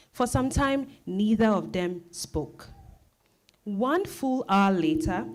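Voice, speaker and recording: A woman's voice: medium pitch, a little mellow. A woman narrating a dramatized novel reading in a scripted storytelling style.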